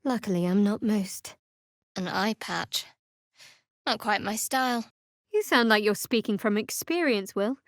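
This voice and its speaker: A woman playing a guarded, sardonic young cleric of a dark goddess in a video game, speaking in a dry, wry manner. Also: medium pitch, unhurried, no echo, close to an everyday voice. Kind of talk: video game character voice lines. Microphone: close studio microphone.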